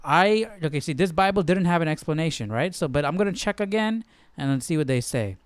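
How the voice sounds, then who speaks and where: A man's voice: fairly high pitch, expressive, brisk, clear, resonant tone. A man hosting a casual live Bible study, thinking aloud and often checking in with the listener. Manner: musing, often checking with "right?".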